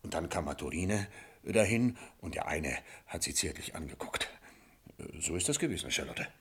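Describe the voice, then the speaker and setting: An actor playing a simple, boastful young peasant suitor in a vintage radio play, stammering and rambling naively with husky emphasis. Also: male voice, low voice, animated, normal speed, heavily acted. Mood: eager, rambling. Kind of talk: 1950s German radio play acting. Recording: vintage 1950s radio recording.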